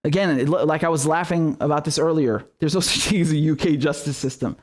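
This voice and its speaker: A young man from Syria hosting a live political commentary stream, ranting passionately and swearing between quick asides. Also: a little high.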